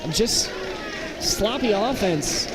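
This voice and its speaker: A man's voice: high-pitched, expressive, takes it slowly. A man doing live basketball play-by-play in short, clipped calls. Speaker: play-by-play sports commentator. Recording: very noisy gym, loud crowd din. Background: basketball bounces and crowd noise.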